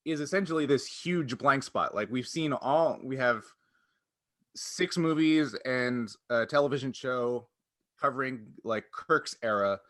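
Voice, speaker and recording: A man's voice: mid-range voice, pushed and bright, dry recording, clean studio sound. A man hosting a podcast, talking casually in a wordy, explanatory way. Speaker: podcast host.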